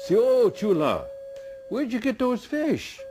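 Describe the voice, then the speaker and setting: A man voicing a gullible, hungry trickster rabbit in an animated way for a folk tale. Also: very high-pitched, takes it slowly, over music, pitched above the performer's own voice, exaggerated voice. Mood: nosy, curious. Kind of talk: oral folk tale storytelling.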